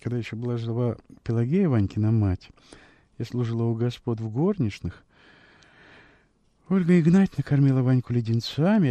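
A man narrates literary fiction in a radio style, measured and expressive. His voice is mellow and relaxed, at a middle pitch, recorded dry and close. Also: no echo.

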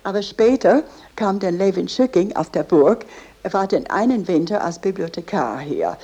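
A woman's voice: medium pitch, animated, normal speed, mellow, breathy edge, muffled recording. A woman explaining history in plain spoken style, like a guide.

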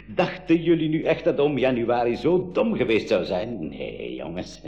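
Fussy old inventor uncle, kindly and excitable, voice swings all over, radio play. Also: male voice, medium pitch, moderate pace, dull audio. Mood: fussy, baffled. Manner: chuckling, fussy, repeats his phrases. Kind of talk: 1980s school radio play acting.

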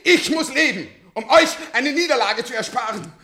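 A man's voice: very high voice, expressive, normal speed, bright, forceful tone, full character voice. An actor playing a very old, sardonic man in a science-fiction radio play, weary and defiant in manner. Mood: agitated accusation. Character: bitter, sardonic very old man kept alive as an experiment.

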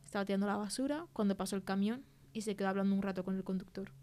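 A woman's voice: mid-range voice, racing, a little mellow, lightly acted. A woman with a Spanish accent playing a film worker who gives conversational testimony as an interview guest. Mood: earnest. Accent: Spain. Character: female film crew worker recounting a frightening experience.

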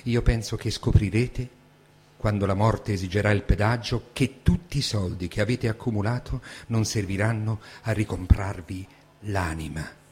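A man's voice: fairly low pitch, normal speed, darkish tone, breathy edge. A man giving a dramatic stage reading of a text. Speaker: stage reader. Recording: hum and background noise.

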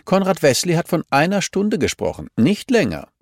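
A man's voice: fairly high pitch, wildly swooping pitch, moderate pace, dry recording, clean studio sound, pitched above the performer's own voice, full character voice. A man voicing a grumpy, grey-haired female archive clerk in an audiobook, curt, shrewish and snappish. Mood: curt, irritated.

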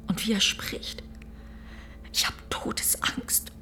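An actress playing a fearful, doubting, loyal farmer's wife in a radio play, hushed, anxious and sceptical. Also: female voice, slow, in a whisper. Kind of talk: German radio play acting.

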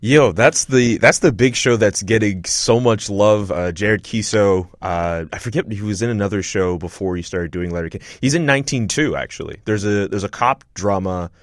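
Low-voiced young male podcast host. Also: moderate pace, few pauses, no echo, studio quality. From Montreal, Canada. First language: not English; French is his second language. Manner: rambling, many fillers and restarts. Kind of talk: casual interview chat.

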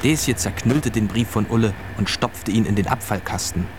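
A man narrating a children's radio play as a storyteller, in deliberate short phrases. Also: on the low side. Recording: clear traffic and vehicle noise behind. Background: vehicle and outdoor ambience.

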